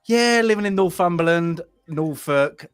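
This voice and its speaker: A man's voice: fairly high pitch, animated, a little slow, clean tone, no echo, studio quality. A man from London with a mild West London accent, joking along as a co-host in a casual panel chat. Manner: joking, self-deprecating.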